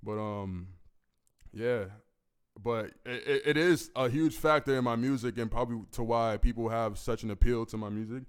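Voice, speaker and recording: A man in his twenties with a deep, gravelly voice, a musician answering fan questions in laid-back, profane casual talk. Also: moderate pace. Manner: laid-back, profane, keeps asking "you know what I mean"; commanding, projecting delivery. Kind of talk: casual solo Q&A.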